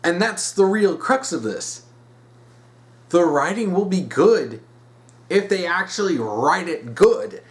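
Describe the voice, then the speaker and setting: A man hosting an opinion series, speaking bluntly and emphatically and repeating phrases to drive points home. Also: a little high. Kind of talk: opinionated commentary.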